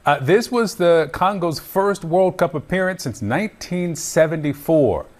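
A man's voice: fairly high pitch, expressive, a little slow. A man hosting a news broadcast, reading sports results and interviewing a guest in a conversational way with a few fillers. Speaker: news host.

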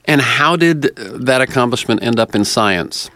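A man's voice: medium pitch, expressive, measured pace, bright, forceful tone, no room sound, clean studio sound. A man conducts a conversational interview, searching for words and rephrasing his questions as he goes.

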